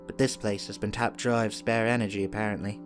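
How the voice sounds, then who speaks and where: A man's voice: fairly low pitch, flat delivery, fast, lightly acted. A man playing a welcoming, wry monk master of a run-down space temple in an amateur audio play. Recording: music under the voice.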